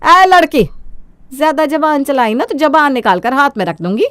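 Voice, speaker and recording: A woman's voice: high-pitched, sing-song, moderate pace, a bit bright, dry recording, voice pushed up. A woman voicing a greedy, domineering older mother-in-law in a cartoon moral story, scolding and brazen. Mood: threatening.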